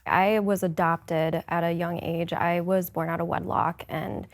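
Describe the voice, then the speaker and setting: Woman, born in South Korea, lower voice, earnest, reflective. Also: little pitch movement, measured pace. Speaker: discussion panelist. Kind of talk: candid personal sharing.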